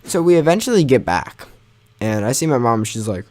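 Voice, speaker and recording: A young man with a medium-pitched voice tells a personal story as if for his gaming channel. His delivery is informal and loose, with some mild swearing. He talks about himself in a self-mocking way. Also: big pitch swings, fairly quick, a little mellow.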